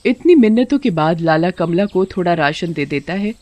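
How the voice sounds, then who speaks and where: A woman's voice: on the low side, animated, moderate pace, darkish tone, dry recording. A woman giving scripted narration of a moral tale as the storyteller.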